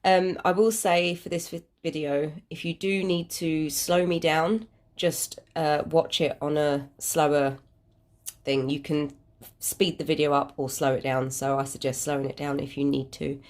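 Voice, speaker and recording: A woman's voice: low-pitched, steady tone, a little slow, a little mellow. A British woman with a congested, cold-affected voice, giving an informal craft tutorial in a hesitant, rambling, self-deprecating way. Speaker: crafting channel host.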